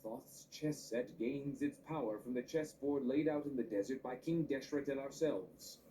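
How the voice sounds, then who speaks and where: A dignified male sage speaks in a mellow, middle-pitched voice, composed and scholarly, voiced by an actor. The delivery is formal anime game acting, with faint noise behind it. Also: normal speed, natural voice, low-quality recording. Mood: explanatory.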